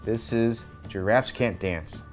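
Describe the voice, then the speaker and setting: A man reading a children's picture book aloud as an expressive storyteller. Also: lowish voice, slow pace. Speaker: storyteller, host. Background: background music.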